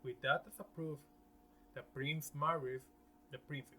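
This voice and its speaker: A man presenting scripted narration in a halting way, sometimes stumbling over words. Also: fairly high pitch, steady tone, sharp tone, slight background noise.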